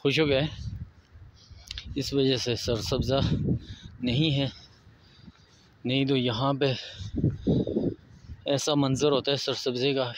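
A man with a Pakistani Urdu accent, walking a mountain road and calmly pointing out sights to his viewers. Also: medium pitch, a little hiss or hum, poor audio. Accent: Pakistani Hindko-area Urdu. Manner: unhurried, pointing things out to viewers. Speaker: travel vlogger. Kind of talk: casual walking tour narration.

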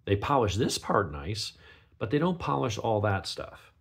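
A man with a gravelly, sniffly voice from a cold, giving a casual unscripted product review in a rambling, conversational way. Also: lowish voice, sing-song, a little slow. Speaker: knife reviewer, channel host.

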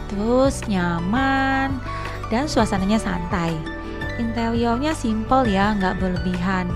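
A woman doing a casual, chatty vlog voice-over, addressing viewers as friends. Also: mid-range voice. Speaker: vlogger and narrator.

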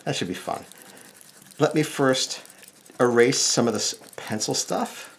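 A man's voice: medium pitch, measured pace, a bit bright, slight background noise. A middle-aged American man giving a casual step-by-step drawing lesson, thinking aloud informally as he works. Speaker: cartoonist, drawing instructor.

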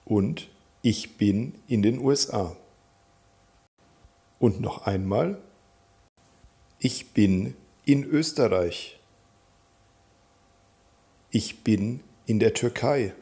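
A man teaching a German lesson, calmly naming countries and repeating example sentences for learners to follow. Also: fairly low pitch, very slow, long pauses, husky. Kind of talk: slow, clear teaching with drill repetitions.

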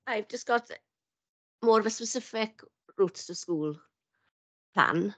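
A woman's voice: medium pitch, takes it slowly, a bit bright. A woman councillor asking a tentative question at a council meeting over a video call.

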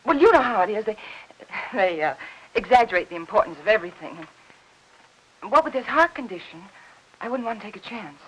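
An actress in her thirties with an American accent playing an anxious, pleading housewife in a fifties film melodrama, halting under strain. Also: medium pitch, sing-song, dull audio, close to an everyday voice. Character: anxious, pleading suburban housewife guarding a secret. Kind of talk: 1950s melodrama film acting. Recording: hissy old 1950s film soundtrack.

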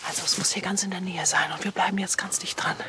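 A woman playing a bossy, impatient, gung-ho policewoman giving brisk orders in a radio play. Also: lowish voice, fairly even intonation, background noise, natural voice. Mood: hushed. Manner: commanding, brisk.

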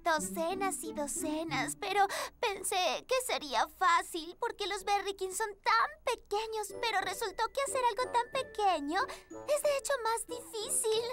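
A woman with a Latin American accent voicing a sweet, earnest, excitable young girl in a children's cartoon dub, speaking expressively. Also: very high-pitched. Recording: children's music behind the voice.

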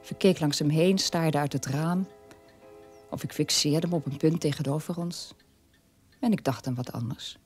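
An actress playing a sober middle-aged daughter of a war criminal, telling her past in a measured radio-play monologue with a husky voice. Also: sits low, a little mellow, soft background music. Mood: sober, reflective.

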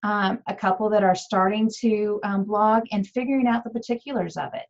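A woman's voice: medium pitch, brisk. A woman hosting a webinar, moderating casually over dull video-call audio. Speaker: webinar host.

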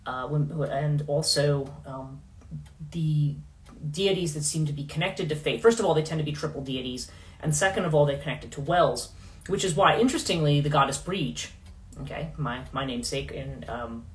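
A middle-aged woman hosting a casual livestream in her living room, rambling with many fillers and restarts, her voice going hoarse. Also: low voice, normal speed. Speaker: podcast host. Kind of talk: casual livestream talk on myth.

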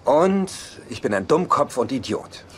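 Sarcastic, grumbling bachelor brother. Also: male voice, mid-range voice, unhurried. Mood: grudgingly contrite. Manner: deadpan, grumbling. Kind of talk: dubbed sitcom dialogue. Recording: noisy TV sitcom soundtrack.

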